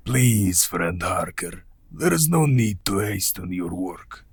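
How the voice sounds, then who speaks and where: An ancient vampire count for a radio play. The voice is low, very husky and unhurried, with courtly, formal menace. It sounds close and dry, with faint music at times. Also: male voice, very animated, no echo, full character voice. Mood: courtly, ominous. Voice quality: gravelly, husky. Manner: slow, formal, deliberate.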